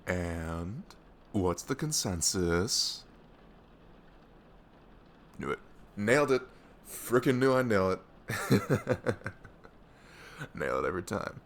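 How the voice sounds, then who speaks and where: A man playing a devoted, goofy young best friend in an ASMR audio roleplay, earnest and nervously lovestruck, with a soft velvety voice. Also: on the low side, very animated, full character voice. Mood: proud, teasing. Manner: hesitant, halting, earnest.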